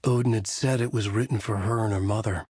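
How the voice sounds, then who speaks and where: A man's voice: on the low side, fairly even intonation, fairly quick, darkish tone, slightly rough, no room sound, clean studio sound. A man voicing a haunted male horror novelist, delivering grim noir-style inner monologue as game voice lines. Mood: brooding. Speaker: narrator.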